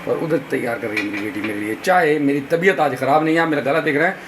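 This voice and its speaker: A hoarse man with fever and a sore throat narrating a casual cooking vlog in his home kitchen, chatty and talking to viewers as brothers. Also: mid-range voice, fast. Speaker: vlogger. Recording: noisy home video.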